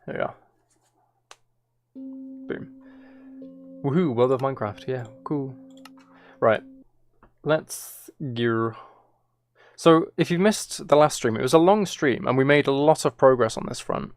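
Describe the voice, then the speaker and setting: A man streaming a game, chatting casually and laughing often, his voice a little hoarse. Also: mid-range voice, measured pace, pauses often. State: slightly hoarse from recording. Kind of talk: casual live-stream chat while playing.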